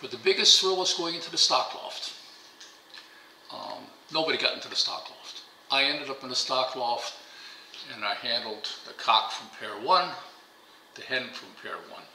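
A man's voice: medium pitch, lively intonation, moderate pace, pushed and bright, echoey room, a little hiss or hum, low-quality recording. An older man whose first language is German, telling stories in a casual interview at a dining table at home, rambling and repeating words. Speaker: interviewee, pigeon fancier. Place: bright home dining room, indoors.

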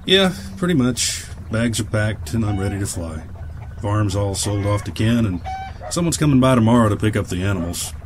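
A weary old pilot turned farmer acted by a man in a radio drama, with a low voice and plain, folksy talk. He is proud, loyal and bitter, brooding over his words. There is steady noise behind him. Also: normal speed, close to an everyday voice. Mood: gruff, resigned. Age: older. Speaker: voice actor. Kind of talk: audio drama acting.

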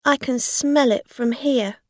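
A woman voicing a scheming, angry woman who plays the victim in an audio story, sharp, insistent and accusing. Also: sits high, takes it slowly, dry recording, studio quality, voice pushed up. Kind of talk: acted dialogue in an audio story.

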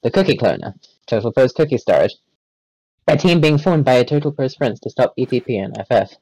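A man in a voice call reading a wiki page aloud in a flat, hurried way, often stumbling and restarting words. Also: mid-range voice, no echo, poor audio. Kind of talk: rapid deadpan read-aloud in a voice chat.

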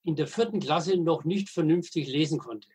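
An elderly man in his eighties with a husky, slightly high voice. He tells stories as a podcast guest, pausing often, restarting phrases, and slipping in dry jokes. His delivery is hesitant but steady. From Middle Franconia, Germany. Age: 80s. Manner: halting, frequent hesitations and restarts; dry humor, anecdotal. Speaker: guest, veteran wastewater engineer and editor. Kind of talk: podcast interview, storytelling.

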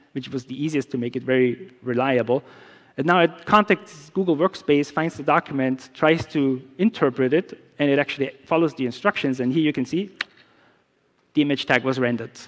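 A middle-aged man, a native German speaker, walks an audience through a live demo from a conference stage. He talks in a relaxed, explanatory way, restarting phrases often, with an average pitch. His voice carries a long reverb from the large hall. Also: brisk. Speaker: security researcher.

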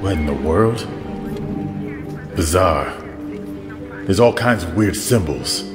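A calm police lieutenant acted by a man in an audio drama, businesslike and subdued. He speaks slowly and low, in a reverberant hall. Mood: measured, puzzled. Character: calm, steady male police lieutenant investigating a murder. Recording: echoey hall reverb, music behind.

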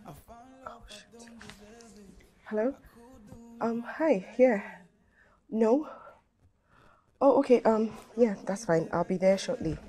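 A woman's voice: a little high, lively intonation, takes it slowly, long pauses, warm tone, faint music behind. A woman playing an emotional young employee who exclaims and stumbles over her words. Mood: hurried. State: crying. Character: young female employee, emotional and easily moved. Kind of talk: amateur skit acting.

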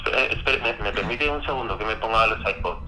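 A man's voice: on the low side, fast talker. A young man with an accent from Spain, talking defensively and hesitantly over the telephone. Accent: Spanish from Spain. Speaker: prank call victim. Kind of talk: defensive phone conversation. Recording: phone call with background music.

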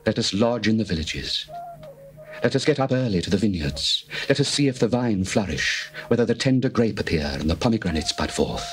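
A man voicing an adoring male lover addressing his bride, in a solemn, measured dramatized scripture reading. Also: fairly low pitch, lively intonation, natural voice. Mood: tender. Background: faint music.

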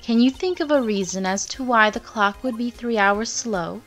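A woman playing a firm, encouraging young lawyer mentor in a video game fandub, instructive and deliberate. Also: a little high, a bit bright, faint music behind, lightly acted.

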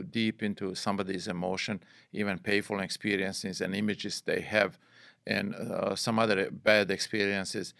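A man in his sixties with a Bosnian accent, speaking earnestly and haltingly in non-native English as an interview guest. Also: fairly low pitch, creaky, a bit bright, no echo, clean studio sound. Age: 60s. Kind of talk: reflective studio interview. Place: small wood-panelled podcast studio. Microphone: close boom-arm studio microphone.

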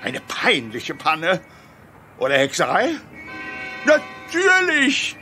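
A man's voice: a little high, huge pitch swings, slow, bright, forceful tone, soft background music, exaggerated voice. A man playing a pompous, irritable town mayor in a children's audio drama, blustering and indignant. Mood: indignant, flustered. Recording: radio play with faint background noise.

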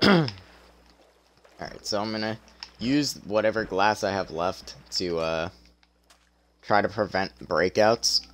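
A man's voice: lowish voice, slow pace, pauses often, fairly bright tone. A young man streaming a game, talking casually through his play with a tired, strained voice and a chatty, self-deprecating manner. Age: young adult. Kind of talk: casual gameplay commentary.

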